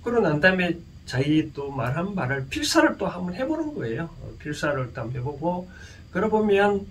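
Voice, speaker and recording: Male lecturer, Gyeongsang Korean accent, very lively intonation, halting informal delivery full of rhetorical questions, recorded at a distance from the mic. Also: sits high. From Gyeongsang region, South Korea. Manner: halting, often asks listeners rhetorical questions. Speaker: lecturer and counsellor. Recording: distant mic with faint background noise.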